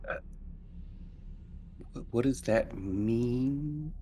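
A man's voice: mid-range voice, drawn-out words, dark tone. A man playing a tabletop roleplaying game, talking casually as he questions and discusses the plan. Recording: faint background noise.

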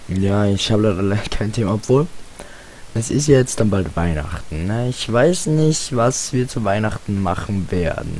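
Teenage male gamer, low-pitched, a bit hoarse, slangy rambling commentary, hissy home microphone. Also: a little mellow. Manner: rambling, self-deprecating, slangy. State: slightly hoarse, sore throat. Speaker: gaming video host. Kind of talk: casual Let's Play commentary. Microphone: home computer microphone.

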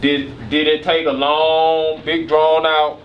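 A man's voice: fairly high pitch, little pitch movement, slow, lo-fi sound, a little hiss or hum. Earnest man teaching a class, echoey room. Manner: earnest, coaxing, keeps asking "right?". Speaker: poetry workshop mentor. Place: classroom, indoors. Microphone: far camera. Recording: echoey room from far off, with music.

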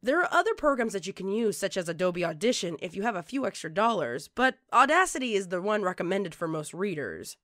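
A woman reading scripted tutorial narration in a measured, instructional way, like an online narrator presenting tips. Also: medium pitch, big pitch swings, a bit bright, dry recording, studio quality.

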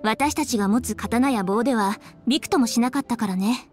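Actress as a high-voiced, composed young official. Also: female voice, brisk, darkish tone, faint music behind, close to an everyday voice. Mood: composed, explanatory. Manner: articulate, businesslike, polite. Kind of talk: Japanese video game voice acting.

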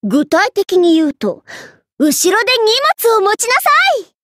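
A woman voicing a haughty, vain young Egyptian queen in a Japanese anime game, imperious and theatrical, quick to get flustered. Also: very high-pitched, sing-song, fairly bright tone, no echo, clean studio sound, heavily acted.

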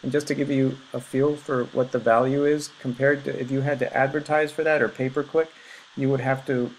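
A man working as a web designer and marketer, explaining search rankings in a casual, explanatory way with many filler pauses over a screen recording. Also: medium pitch, level tone, slight background noise, low-quality recording. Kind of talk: screen-share website review.

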